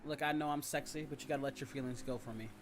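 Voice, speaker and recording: A man's voice: mid-range voice, steady tone, racing, background noise. A man hosting a casual livestream, rambling and teasing his viewers in slangy talk. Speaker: livestream host and content creator. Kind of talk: casual livestream chat, reading and answering viewers. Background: music, rain ambience.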